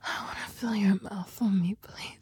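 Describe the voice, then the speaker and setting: Breathy, whispering woman, soft and pleading. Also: medium pitch, fairly even intonation, moderate pace, no echo. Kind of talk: intimate whispered audio roleplay.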